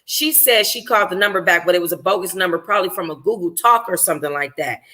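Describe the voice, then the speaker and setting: Bright-voiced woman reading a novel aloud. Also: on the low side, fast talker. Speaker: audiobook reader, book club host. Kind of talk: first-person novel narration read aloud.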